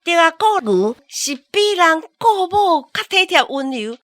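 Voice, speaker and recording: A woman's voice: very high-pitched, sing-song, measured pace, a bit bright, no echo, clean studio sound. A woman with a Taiwanese accent narrating a scripted radio drama as a storyteller.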